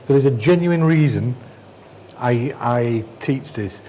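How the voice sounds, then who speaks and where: A man's voice: mid-range voice, slow, warm tone, slight background noise. A middle-aged man teaching endoscopy hands-on, giving short blunt instructions and dismissive asides. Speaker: endoscopy trainer. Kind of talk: hands-on teaching, coaching a trainee. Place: hospital endoscopy training room.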